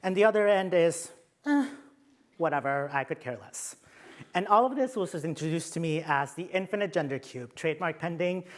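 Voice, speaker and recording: A young adult man with a Mexican accent presents a conference session from his slides. His voice sits a little higher than most men's, and he keeps his delivery playful, slipping in self-deprecating jokes between the heavier points. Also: animated, normal speed.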